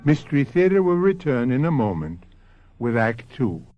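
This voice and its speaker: A man's voice: medium pitch, big pitch swings, over music, lightly acted. Theatrical mystery host man, sweeping intonation. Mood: ominous, intriguing. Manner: theatrical, rhetorical questioning, deliberate. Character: grave, ominous male mystery series host. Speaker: host and narrator. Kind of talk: radio drama narration. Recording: dull old radio recording.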